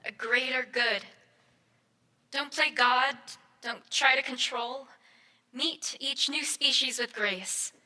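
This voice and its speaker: Bright, high-voiced AI queen, played by a woman. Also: slow, exaggerated voice. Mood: commanding, composed. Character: Brain, cool proud female AI queen ruling a collective. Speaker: actor in a live stage audio drama. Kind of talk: live sci-fi radio play acting.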